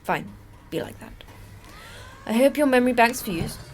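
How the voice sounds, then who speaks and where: An actress playing a terse woman station manager in a sci-fi audio drama, brusque and dryly sarcastic in a deadpan way. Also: fairly high pitch, normal speed, soft background music, lightly acted. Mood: mock bitter. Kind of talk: audio fiction podcast acting.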